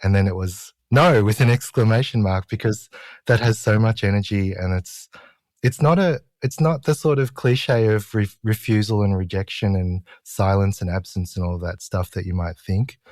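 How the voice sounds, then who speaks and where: A man talks as an editor in a casual, reflective interview. His voice is pitched quite low and is recorded dry, with no room around it. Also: normal speed, darkish tone, no echo. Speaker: magazine editor being interviewed.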